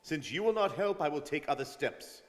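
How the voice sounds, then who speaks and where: A man plays a dogged, suspicious police detective in a radio play, sure of himself and insistent. His voice sits a little high and rings with long hall reverb. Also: lively intonation, moderate pace, a bit bright. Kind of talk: old-time radio drama acting. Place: performed live in a studio.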